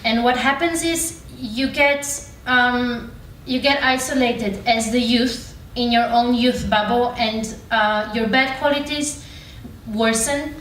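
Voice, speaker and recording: A woman's voice: a little high, takes it slowly, fairly bright tone. A woman in her twenties from Bulgaria giving an earnest, argumentative prepared speech as a student speaker. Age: 20s. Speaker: student speaker on a youth panel. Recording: roomy, distant mic, background room noise.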